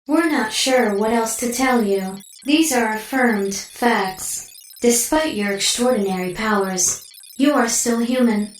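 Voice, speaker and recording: Roomy, high-pitched clinical female AI. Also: takes it slowly, far from the mic, faint music behind. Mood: clinical, detached. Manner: precise, formal, literal and detached. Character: coolly clinical female artificial intelligence delivering blunt data. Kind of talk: audio drama acting.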